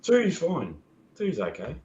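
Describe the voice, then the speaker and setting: A man from Australia, a podcast guest with a sore throat and a croaky voice, chatting in an easygoing way with lots of ums. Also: fairly high pitch, wildly swooping pitch, slow pace, a little mellow, roomy sound, lo-fi sound. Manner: easygoing, hesitant with many ums. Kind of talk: casual podcast chat.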